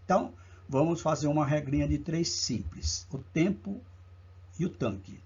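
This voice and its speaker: Man, Brazilian Portuguese accent, slightly high, very raspy, step-by-step exam prep lesson. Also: a little mellow, dull audio. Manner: slow, deliberate, counts steps aloud. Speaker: math teacher.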